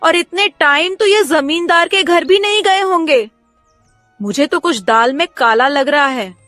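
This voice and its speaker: Woman as sharp-witted village wife, high voice, scolding, dry close sound, cartoon dialogue. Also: animated, a little slow, no room sound, faint music behind. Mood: suspicious. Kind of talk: Hindi cartoon story dialogue.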